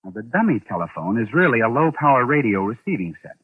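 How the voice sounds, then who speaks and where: An actor playing a shrewd, sardonic amateur sleuth in 1940s radio style, deliberate and dramatic as he explains. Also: mid-range voice, big pitch swings, a little hiss or hum, natural voice. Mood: explaining. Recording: muffled, lo-fi 1940s radio recording.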